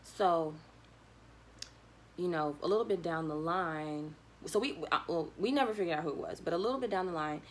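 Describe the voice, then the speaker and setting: A young woman with a notably low voice tells a story as if chatting to friends. Her delivery is slangy and animated, slipping into quoted back-and-forth dialogue. There is clear ambient noise behind her. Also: normal speed. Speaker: vlogger telling a personal story. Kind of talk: casual conversational storytelling.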